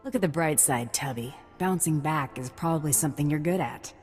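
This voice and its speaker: An actress playing a wry, cocky bounty hunter in a video game, with a deadpan delivery. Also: female voice, low-pitched, a little fast, soft background music, close to an everyday voice. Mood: dry, wry. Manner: sardonic, deadpan.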